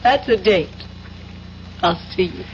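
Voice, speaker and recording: A woman's voice: mid-range voice, expressive, takes it slowly, very noisy. Worldly female spy, muffled radio sound. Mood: wistful, amused. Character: cool, worldly American female double agent. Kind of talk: 1970s radio drama acting. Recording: muffled old radio broadcast with music.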